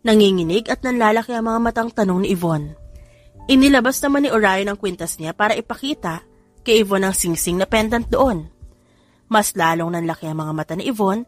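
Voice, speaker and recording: A woman with a Filipino accent reading a scripted romance story as an audiobook narrator. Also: mid-range voice. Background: music.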